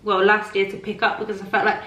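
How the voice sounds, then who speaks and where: A woman's voice: medium pitch, completely flat delivery, fast, a bit bright. A woman hosting a casual, upbeat interview. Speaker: host interviewing a guest. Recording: roomy echo, faint background noise.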